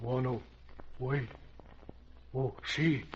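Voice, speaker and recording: Slow, husky, wary safari guide. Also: male voice, mid-range voice, steady tone, heavily acted. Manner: halting, clipped pidgin phrases, solemn. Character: wary loyal African safari guide, simple broken English. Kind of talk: old-time radio adventure acting. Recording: dull, muffled vintage radio recording.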